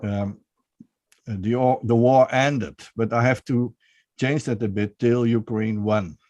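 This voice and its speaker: A man's voice: medium pitch, fairly even intonation, a little slow, darkish tone, dry recording, highs cut off. A man hosting an online talk show, hesitant, with many restarts and fillers. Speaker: podcast host.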